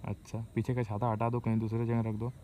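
A man's voice: on the low side, monotone, fast talker. A man giving a casual hands-on tutorial as host of a DIY video, recorded on a phone. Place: open concrete rooftop outdoors. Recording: noisy outdoor recording with cawing crows. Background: loud outdoor ambience, crows cawing.

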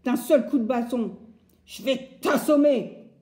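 A woman voicing a big, blustering, angry male woodcutter who shouts and growls threats. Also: very high-pitched, steady tone, measured pace, reverberant, pitched above the performer's own voice. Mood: growling threats.

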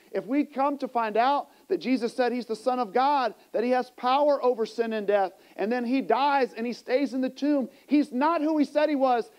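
A man in his forties preaching a sermon as a pastor, with an emphatic delivery that moves between hushed and raised. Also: extremely high pitch. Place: church sanctuary stage, indoors. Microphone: small mic clipped to the chest.

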